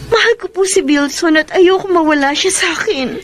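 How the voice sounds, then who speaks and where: High-voiced, pleading young Filipino woman. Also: a little fast, a bit bright, slight background noise. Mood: distressed. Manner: emotional, pleading. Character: torn, principled young woman in love, pleading. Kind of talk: Filipino radio drama acting.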